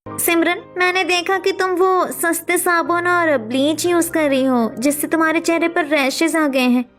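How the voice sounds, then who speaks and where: Lady doing kind young sister in law, cartoon story, high voice, coaxing and encouraging. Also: moderate pace, a bit bright, soft background music. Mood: coaxing, reassuring. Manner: coaxing, reassuring. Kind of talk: dramatic Hindi cartoon story acting.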